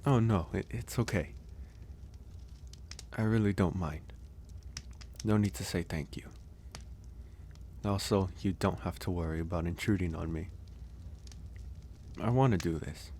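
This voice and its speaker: Man playing a low, slow-spoken gentle young werewolf. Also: a little hiss or hum. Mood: gentle, reassuring. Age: young adult. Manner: unhurried, hesitant, reassuring. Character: gentle young male werewolf, shy mountain recluse. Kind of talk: ASMR audio roleplay, soft intimate acting.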